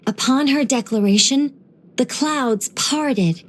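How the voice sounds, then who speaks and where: A woman voicing an ancient angel in a video game, dignified yet whimsical and chatty, with a measured, gently playful delivery. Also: high-pitched. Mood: theatrical storytelling. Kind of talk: video game character voice lines.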